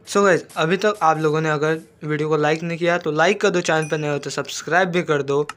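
A man, hoarse from a cold, chatting casually as he narrates his own game play and mixes English words into Hindi. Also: a little high, steady tone, fairly quick, fairly bright tone, slight background noise. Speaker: gaming YouTuber.

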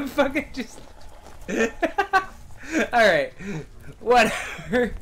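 A man playing a horror game and commenting on it, loud, excitable and sarcastic, swearing freely and reading the game's lines aloud. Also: extremely high pitch, drawn-out words. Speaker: game streamer. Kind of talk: let's play commentary.

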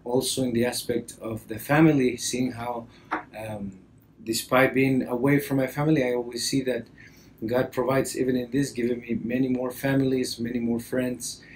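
A man's voice: mid-range voice, little pitch movement, low-quality recording. Male priest, 30s, Costa Rican accent, mid-range voice, hesitant talk to camera, faint background noise. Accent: Costa Rican Spanish. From Costa Rica. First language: Spanish. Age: early 30s. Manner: hesitant, halting delivery with frequent pauses. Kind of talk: personal introduction to camera. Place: indoor office or library, seated at a desk.

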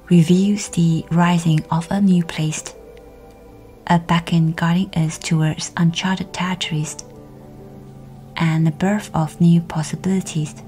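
A woman guiding a meditation in a soft, breathy, near-whispered voice with a soothing, measured delivery. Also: fairly low pitch. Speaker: guided meditation host. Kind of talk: guided meditation, scripted narration. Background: background music.

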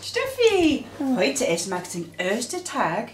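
A woman's voice: a little high, wildly swooping pitch, takes it slowly, a little hiss or hum, exaggerated voice. A middle-aged woman playing a busy, fussing mother who chides and dotes on her kids in a home kitchen. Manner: chiding, fussing. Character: busy, nagging, doting mother. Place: family kitchen.

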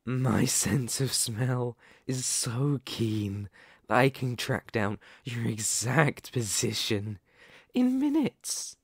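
A man voicing a sadistic, possessive werewolf captor in an ASMR roleplay, speaking in a deliberate, condescending, threatening way. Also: mid-range voice, sing-song, slightly rough, no echo, studio quality, heavily acted. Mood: controlling, cold.

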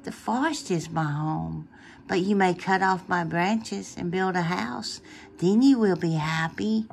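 Woman reading as a gentle, loving old tree. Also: lowish voice, unhurried, creaky, a little hiss or hum. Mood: tender.

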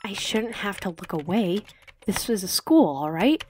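A woman's voice: mid-range voice, lively intonation, unhurried, a little mellow, close to an everyday voice. Woman voicing an indignant, prim teenage girl. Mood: scornful. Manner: indignant, judgmental. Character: disapproving, prim teenage schoolgirl typing online. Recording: slightly noisy home recording.

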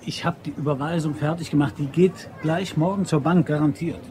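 An actor playing a shifty, smooth-talking man who plots a robbery, speaking casually and tersely in a German crime radio play. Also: a little high, steady tone, fairly quick, darkish tone, a little hiss or hum, close to an everyday voice. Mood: evasive.

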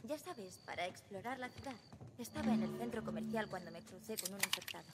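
A woman's voice: medium pitch, animated, a little fast, very noisy, close to an everyday voice. A woman voicing a plucky, sarcastic teenage girl survivor in a video game, offhand, with a Castilian Spanish accent. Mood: subdued. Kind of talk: dramatic video game voice acting.

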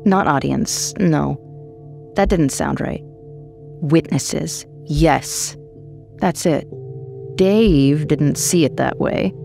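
Low, creaky woman narrator. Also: extremely slow, pauses often, darkish tone. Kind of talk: scripted horror fiction narration. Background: faint music.

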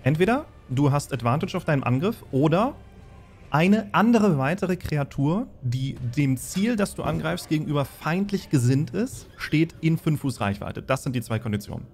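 A man's voice: fairly high pitch, very animated, moderate pace, a little mellow, husky. Man narrating as game master, music behind. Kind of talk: narrating a tabletop fantasy horror game. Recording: music under the voice. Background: background music.

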